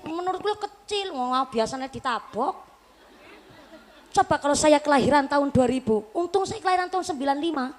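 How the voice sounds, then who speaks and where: High-voiced Javanese woman preaching with jokes. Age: late 20s. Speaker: Islamic preacher. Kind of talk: humorous religious talk to a live audience.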